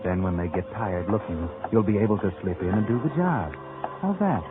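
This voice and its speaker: A sinister male storyteller from a 1940s radio thriller, played by an actor, needling a fugitive with sly urgency. His low voice comes through tinny old radio sound, with loud music swelling behind it. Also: expressive, moderate pace, natural voice. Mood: sly, scheming. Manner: insinuating, urgent second-person address. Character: sinister, taunting male narrator addressing a fugitive. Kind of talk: 1940s radio suspense narration.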